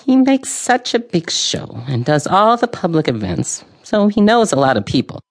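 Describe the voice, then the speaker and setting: An actress playing a sharp, gossipy old woman in an audio drama, with a gravelly voice and a knowing, dryly opinionated way of talking. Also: medium pitch, very animated, moderate pace. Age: older.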